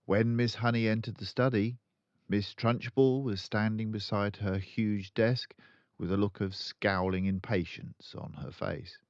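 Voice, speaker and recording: A man's voice: fairly low pitch, steady tone, unhurried, no echo, dull audio. An older man reading a children's book aloud expressively as a storyteller.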